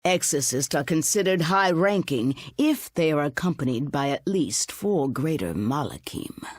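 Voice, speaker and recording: A woman's voice: deep voice, expressive, no room sound. An actress voicing a courteous, sly old woman informant in a video game, speaking in a genteel manner. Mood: dry, matter-of-fact. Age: older. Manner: genteel, unhurried, addresses others as dear. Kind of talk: English video game voice acting.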